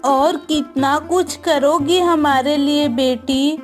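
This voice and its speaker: कार्टून कहानी में बेटी की फ़िक्र करती कोमल, चिंतित गाँव की माँ का किरदार निभाती एक महिला।. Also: female voice, sits high, steady tone, takes it slowly, soft background music. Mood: tender, worried. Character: gentle, worried, ailing village mother. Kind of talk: cartoon moral story acting.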